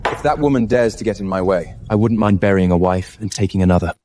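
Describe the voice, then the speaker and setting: Deep, cold, ruthless lord, acted by a man. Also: background noise, natural voice. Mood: cold disdain. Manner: haughty, disdainful, deliberate. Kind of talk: melodramatic period drama acting. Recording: music playing under the voice. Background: background music.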